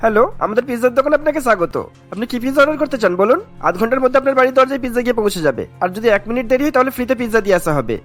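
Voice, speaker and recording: A man's voice: very high-pitched, expressive, very fast, soft background music. A man playing an indignant, harried pizza shop owner in a cartoon, talking in an emphatic, protesting way. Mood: businesslike welcome. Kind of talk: cartoon dialogue acting.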